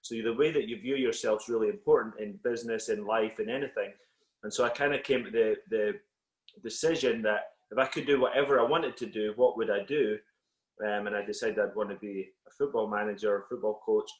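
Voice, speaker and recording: A Scottish man whose accent has softened a little, a football coach talking as a guest on a video call in a relaxed, reflective way with many fillers. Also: lowish voice, brisk, roomy sound, rough recording. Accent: Scottish, slightly Americanised. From Scotland. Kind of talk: casual interview on a call.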